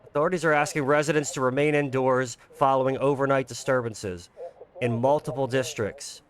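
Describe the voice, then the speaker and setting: An overly calm news anchor , read by a man in a horror visual novel. The voice is average in pitch and delivers official warnings in a measured way. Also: lightly acted.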